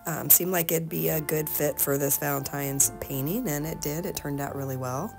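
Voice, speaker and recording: A woman with a slightly hoarse voice, giving a casual, halting painting tutorial as the host. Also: sits low, over music. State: slightly hoarse from being sick. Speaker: host of an art tutorial channel.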